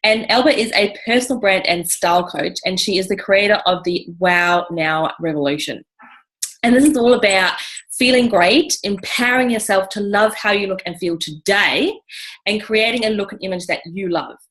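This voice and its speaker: A woman hosts a casual video interview, enthusiastic and chatty, peppering her talk with "you know". Her voice sits at an ordinary middle pitch. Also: moderate pace, a bit bright, low-quality recording. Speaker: interview host.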